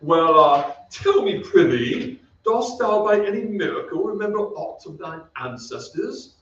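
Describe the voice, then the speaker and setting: A man's voice: high-pitched, very animated, unhurried, a bit bright, exaggerated voice. A man playing a weary, courtly old English ghost knight in an old-time radio play, with archaic, theatrical, solemn delivery. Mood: bemused. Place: theatre stage. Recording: echoey stage, distant mic, dull, hissy.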